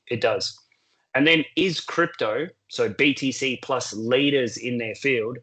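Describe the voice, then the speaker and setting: A man with a sore throat, losing his voice, talking through a solo market update in a measured, explanatory way. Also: medium pitch, fairly bright tone. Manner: measured, explanatory, works through points in numbered order. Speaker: crypto market commentator.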